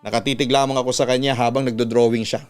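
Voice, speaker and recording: Clear, smooth Filipino male storytelling announcer. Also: medium pitch, level tone, quick pace. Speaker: radio announcer and story show host. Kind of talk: scripted narration, reading a listener's letter. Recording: music bed under the voice. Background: background music.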